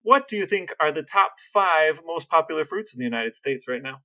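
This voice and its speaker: A man's voice: a little high, animated, brisk, sharp tone. A man co-hosting a casual podcast chat, explaining facts at length with dry jokes. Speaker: podcast co-host.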